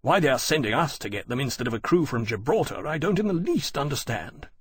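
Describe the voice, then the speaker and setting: A man's voice: medium pitch, very animated, quick pace, slightly rough. A man voicing a hot-headed, urgent British military officer in an audiobook, arguing emphatically in period-formal speech. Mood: insistent.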